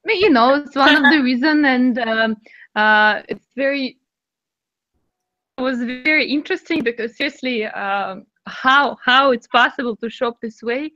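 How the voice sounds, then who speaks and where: A woman's voice: high-pitched, measured pace, sharp tone, dry recording. Woman running an english club call, chatty, laughs a lot, dull dry audio. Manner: friendly, laughs often, fillers. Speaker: speaking club host. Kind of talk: casual chat, leading discussion.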